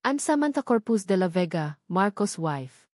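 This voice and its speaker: A woman's voice: medium pitch, very animated, unhurried, darkish tone, no room sound, clean studio sound. A woman voicing an elegant, cold rich wife who is falsely polite, probing and condescending in a story narration. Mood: coolly polite.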